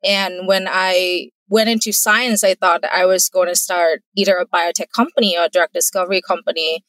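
A woman's voice: lowish voice, steady tone, normal speed, a bit bright, no echo, low-quality recording. Earnest Thai-accented woman entrepreneur. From Thailand. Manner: earnest, careful, laughs easily. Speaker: entrepreneur, guest. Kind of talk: casual interview answers.

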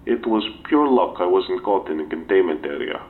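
An actor playing a dutiful scientist giving formal, factual incident testimony in an audio drama. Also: male voice, sits low, expressive, moderate pace. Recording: tinny narrow-band interview recording.

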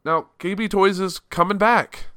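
A man from the Chicago suburbs chats through the week's news on his podcast, rambling and correcting himself as he goes. A cold has his sinuses stuffed up, but his voice still comes across bright and smooth. The recording is dry and close, with no room sound. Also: high voice, a little slow, no echo. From Chicago suburbs, Illinois. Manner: casual, rambling, self-correcting. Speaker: podcast host. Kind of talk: solo news-commentary podcast.

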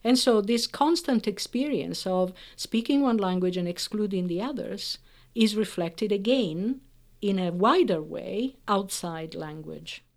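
A woman whose first language is Italian, a researcher explaining the benefits of bilingualism in an interview, speaking carefully with frequent pauses and fillers. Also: mid-range voice, vocal fry, a little mellow. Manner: measured, explanatory, hesitant fillers.

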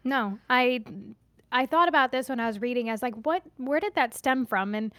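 Woman podcast co-host, chatty and upbeat, fairly high voice, dry close sound. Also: moderate pace, fairly bright tone, dry recording. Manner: chatty, upbeat, self-joking. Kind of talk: casual chat.

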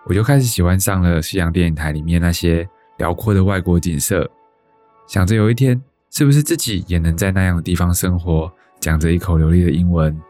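A male actor plays a young Taiwanese man who dreams of a life abroad. His voice is very low, and he tells his story reflectively and wistfully in a radio drama, with music around him. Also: a little fast, mellow, natural voice. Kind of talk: reflective first-person radio drama narration.

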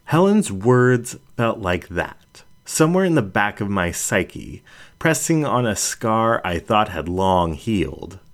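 A man with a deep voice reads a story aloud. He takes his time over each phrase, deliberate and dramatic, and his intonation moves around freely as he narrates. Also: very animated. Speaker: audiobook reader. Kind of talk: dramatic first-person fiction narration.

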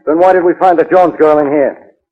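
Actor as a gruff 1940s radio police inspector. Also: male voice, fairly high pitch, steady tone, brisk, lightly acted. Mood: skeptical. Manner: curt, bossy, skeptical. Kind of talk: 1940s radio drama acting. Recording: muffled old 1940s radio recording.

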